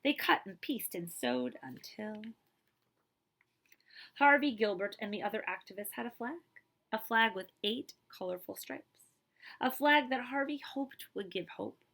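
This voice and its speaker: A woman librarian reading a picture book aloud to children, with expressive, emphatic phrasing and casual asides. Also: mid-range voice, measured pace.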